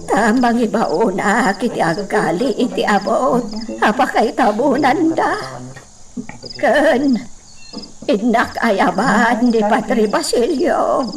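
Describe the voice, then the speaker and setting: Actress as a high-pitched, slow-talking motherly woman. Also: a crowd in the background. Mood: solemn, caring. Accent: Ilocano. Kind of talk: Ilocano radio drama acting. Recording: distant mic, noisy outdoor-insect ambience.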